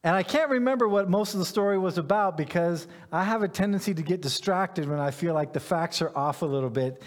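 Male preacher, middle-aged, fairly high register, informal self-deprecating storyteller, recorded with long hall echo. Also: fairly quick. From Ghana, West Africa. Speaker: pastor. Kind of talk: conversational sermon.

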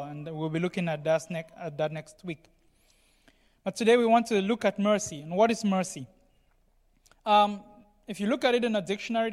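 High-pitched male preacher, conversational teaching sermon, explanatory, long hall reverb. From Cameroon. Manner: explanatory, frequently checks in with "right?" and "you know".

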